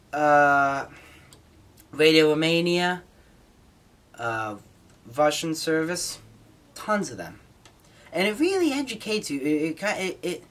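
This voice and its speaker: An American man with a slightly high-pitched voice talks informally as a hobbyist presenter. He explains things in a halting way, sometimes repeating a word before carrying on. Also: fairly bright tone. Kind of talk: informal explanatory talk to camera.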